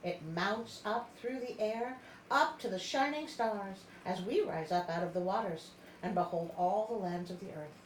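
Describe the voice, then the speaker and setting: A wise old mermaid grandmother , read by a woman, speaks solemnly and with measured weight, as in a storybook. The sound is roomy, with noise behind it. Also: mid-range voice, close to an everyday voice. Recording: roomy echo, background noise.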